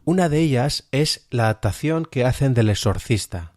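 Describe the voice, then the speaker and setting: A man's voice: mid-range voice, lively intonation, normal speed, a little mellow, dry recording, clean studio sound. A man presenting a podcast review of audio fiction in an informal, emphatic way. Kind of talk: review narration.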